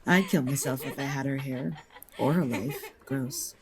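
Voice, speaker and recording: A woman's voice: rumbling low, normal speed, noisy background. A woman playing a haughty, bullying young leading actress in an audio drama, with an imperious, sneering delivery. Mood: contemptuous.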